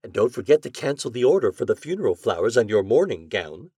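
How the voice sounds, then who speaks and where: A man voicing a spoiled, imperious rich young woman in a read-aloud storybook, pitching his voice up and speaking in loud, demanding tones. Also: very animated, fast talker, breathy edge, no room sound, studio quality. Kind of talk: storybook reading.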